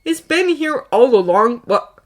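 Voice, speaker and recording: A woman's voice: on the high side, big pitch swings, a little slow, pitched above the performer's own voice. A woman reading a story voices a sarcastic, defiant male inventor who drinks hard. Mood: sardonic.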